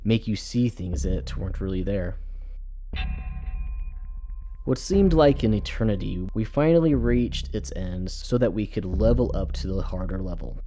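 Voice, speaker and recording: A man reads a scripted first-person horror story in a measured, dramatic storytelling manner. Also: lowish voice, animated, dark tone, slight background noise. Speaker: storyteller, narrator. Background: music.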